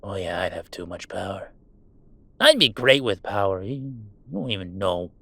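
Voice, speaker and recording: A man's voice: low-pitched, sing-song, normal speed, creaky, sharp tone, heavily acted. A man playing a goofy young werewolf streamer in an intimate roleplay audio drama, talking in casual, mock-grandiose banter with small hesitations. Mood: teasing banter. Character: goofy young male werewolf streamer, playful, defiant about family. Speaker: game streamer.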